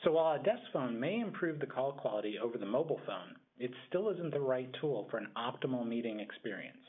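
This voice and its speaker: Muffled speakerphone, animated higher-pitched man. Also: fast talker, breathy edge. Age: middle-aged. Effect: speakerphone sound. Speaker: presenter. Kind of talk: scripted product demonstration. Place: small meeting room, indoors.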